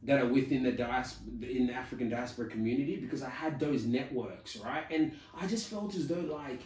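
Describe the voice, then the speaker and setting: An animated man talks through how he got started, quoting his own thoughts along the way. He sits a bit back from the mic in a room that echoes. His pitch sits in the middle range. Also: fairly quick, distant mic. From Australia. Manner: animated storyteller, quotes his own thoughts, frequent "you know". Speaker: podcast guest, community founder. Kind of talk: casual interview chat.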